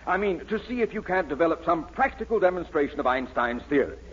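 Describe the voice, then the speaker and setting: An actor playing a pompous, gushing university dean in a 1940s radio comedy, on a muffled old recording. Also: male voice, a little high, very animated, fast, fairly bright tone. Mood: proud, pompous. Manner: pompous, effusive, grandiose.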